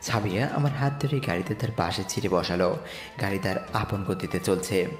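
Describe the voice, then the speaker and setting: A man's voice: deep voice, animated, normal speed. A man playing a poor, humble young slum boy who earnestly narrates his own romantic audio story. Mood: narrating, earnest. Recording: echoey reverb with music bed. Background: music.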